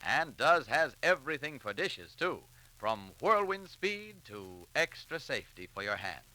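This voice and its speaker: Very bright, animated American radio announcer, man. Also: mid-range voice, a little slow, low-quality recording. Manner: emphatic, chummy salesmanship. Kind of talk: 1940s radio announcing and sponsor pitch. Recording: vintage 1940s radio recording.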